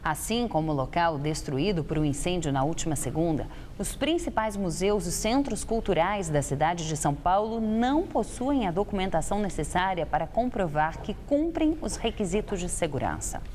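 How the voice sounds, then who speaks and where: A woman anchoring the news in a studio, reading a script in a crisp, formal way. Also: lowish voice, sing-song, moderate pace, few pauses, darkish tone, big-room sound, slight background noise.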